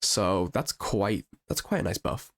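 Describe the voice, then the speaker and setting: A man with a croaky voice from being a little sick, casually reviewing game patch notes with upbeat enthusiasm and frequent restarts. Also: fairly low pitch, lively intonation, unhurried, a bit bright. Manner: chatty and enthusiastic, with ums and restarts. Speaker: gaming channel host.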